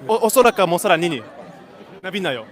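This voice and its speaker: A man doing casual street interviews, firing quick questions, switching languages and echoing the answers, outdoors with people around. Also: sits high, expressive. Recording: busy outdoor crowd around the voice.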